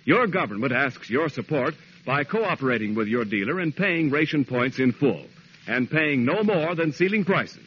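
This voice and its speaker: Male announcer, forceful bright tone, formal scripted vintage delivery, emphatic, dull lo-fi radio recording. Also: medium pitch, a little hiss or hum. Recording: dull 1940s radio recording, faint music.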